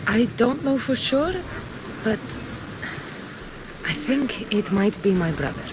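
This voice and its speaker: An actress playing a young, wry and determined mercenary warrior in a video game. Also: female voice, on the high side, animated, slow, noisy background. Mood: guarded, frank. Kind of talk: video game cutscene acting.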